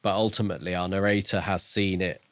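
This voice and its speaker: A man's voice: low voice, steady tone, fast, no echo. A man co-hosting a podcast, talking through a book in a reflective, informal way. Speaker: podcast co-host. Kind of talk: casual book discussion.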